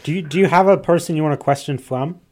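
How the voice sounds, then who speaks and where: A man's voice: on the high side, fast. A man co-hosting a podcast, talking casually with the others. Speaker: podcast co-host. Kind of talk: casual chat.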